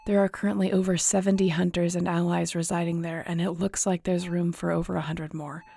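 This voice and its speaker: A woman's voice: fairly low pitch, level tone, fairly quick, gravelly, mellow, no echo. A woman acting in an audio roleplay as a weary, guilt-ridden shifter investigator, speaking in a somber, conversational, confiding way. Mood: worried, heavy.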